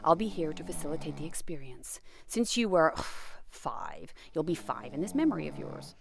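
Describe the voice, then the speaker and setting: An actress playing a bossy, deadpan spirit guide in an audio play, exasperated and ceremonial in her delivery. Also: low-pitched, normal speed, vocal fry, exaggerated voice. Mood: instructing.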